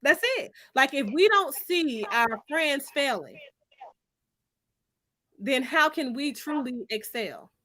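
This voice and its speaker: Bright-voiced, emphatic woman in debate. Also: high voice, big pitch swings, slow pace, pauses often. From Finland. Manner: emphatic, insistent, argumentative. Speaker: panel discussion speaker. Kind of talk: opinionated discussion.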